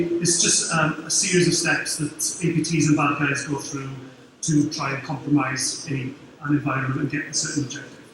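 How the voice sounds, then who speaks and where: Welsh male conference speaker, middle-aged, quick and informal, faint hall ambience. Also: fairly high pitch, a bit bright, a little hiss or hum. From Wales. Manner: quick, informal, dry humour. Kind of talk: conference talk. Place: large dark conference hall. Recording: very echoey hall, distant mic.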